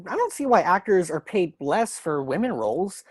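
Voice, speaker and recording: A woman playing a gentle, anxious young male actor in an audio play, speaking hesitantly. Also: fairly low pitch, big pitch swings, normal speed, slightly rough. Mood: defensive.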